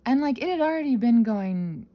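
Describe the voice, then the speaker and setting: A woman's voice: on the high side, expressive, normal speed, mellow. A woman rambling casually and unscripted, scattered and self-deprecating, often trailing off, sounding tired and congested with a sinus infection. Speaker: solo host of a rambling audio channel.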